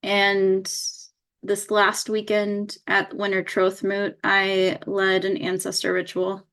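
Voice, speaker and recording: Woman, slow unhurried speech, flat even tone, calm, podcast co-host. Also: mid-range voice. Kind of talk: casual podcast chat.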